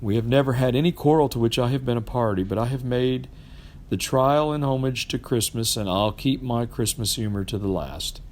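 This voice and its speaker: A man's voice: medium pitch, brisk, darkish tone, a little hiss or hum, close to an everyday voice. A man voicing a cheerful, earnest young nephew in a storybook reading, pleading and good-hearted in manner. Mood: sincere, warm.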